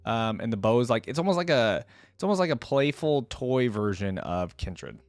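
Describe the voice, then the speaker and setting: A man's voice: medium pitch, very animated, moderate pace, no echo. A man hosting a reaction video, talking informally and thinking aloud as he gives his commentary. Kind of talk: casual reaction commentary, reading aloud at times.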